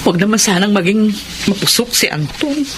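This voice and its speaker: A woman's voice: medium pitch, lively intonation, a little fast, noisy background, close to an everyday voice. A woman acting an anxious older mother figure in a Filipino radio drama, worried and emotional.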